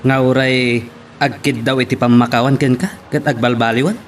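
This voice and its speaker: A man's voice: medium pitch, steady tone, moderate pace, slight background noise, lightly acted. A man acting a concerned friend who questions and advises his buddy, with an Ilocano Filipino accent. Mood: concerned, probing. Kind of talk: Ilocano audio drama acting.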